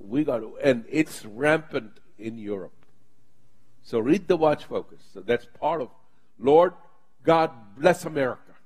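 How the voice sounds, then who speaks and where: A man's voice: mid-range voice, lively intonation, a little slow, a little mellow, husky, low-quality recording. A man preaching and leading his congregation in prayer, speaking fervently and with emphatic bursts. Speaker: pastor.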